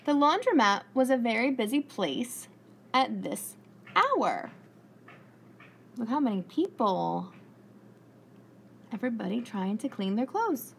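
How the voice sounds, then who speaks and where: A woman in her thirties reading aloud as a preschool teacher in a classroom, encouraging and deliberate, asking questions. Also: on the high side, very animated, creaky. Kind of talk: children's read-aloud with questions. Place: indoors, school classroom. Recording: faint room noise.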